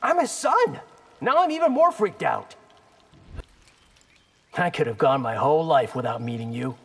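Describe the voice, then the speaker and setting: A man's voice: fairly high pitch, very animated, moderate pace, a bit bright, heavily acted. An actor playing a cocky, loyal young male ninja in a video game, confident and casual. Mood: cocky, animated. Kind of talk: video game character acting. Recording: long reverb, clear background noise.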